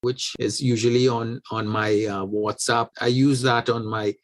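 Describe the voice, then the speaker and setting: Trinidad-born guy telling an old family tale in an interview, middle pitch, takes his time, restarts a lot. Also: level tone. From Trinidad and Tobago. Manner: unhurried, anecdotal, with many restarts. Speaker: guest. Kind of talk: conversational storytelling.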